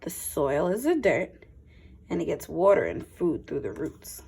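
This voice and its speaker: A woman teacher reading a picture book aloud to young children, with expressive phrasing and simple explanatory asides. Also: deep voice, a little slow, creaky, husky.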